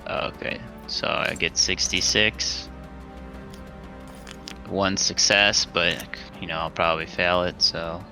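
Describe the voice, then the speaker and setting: A man's voice: low voice, fairly even intonation, slow pace, bright, forceful tone. A man chatting casually as a player in a tabletop game. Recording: faint music under the voice.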